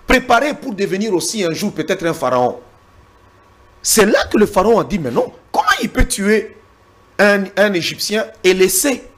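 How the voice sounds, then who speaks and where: Emphatic man with a husky voice. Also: fairly high pitch. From Congo. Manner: emphatic, repeats words when pressed, cites the Bible. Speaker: interview guest. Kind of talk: heated political debate.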